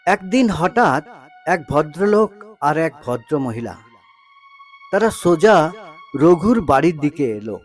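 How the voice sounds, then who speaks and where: A man narrating a scripted story in a dramatic, emotive way, pausing between short phrases. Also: a little high, big pitch swings, faint music behind.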